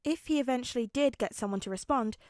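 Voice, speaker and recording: A woman's voice: a little high, fast, a little mellow, no echo, clean studio sound. A woman narrating a scripted story as an audio fiction reader.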